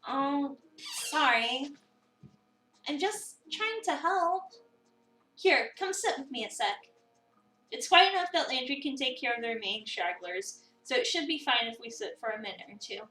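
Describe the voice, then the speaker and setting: A woman's voice: sits high, lively intonation, moderate pace, sharp tone. A woman voicing a bubbly, confident young female cafe coworker in a visual novel, perky and encouraging. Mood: warm, reassuring. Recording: roomy, distant mic, music behind.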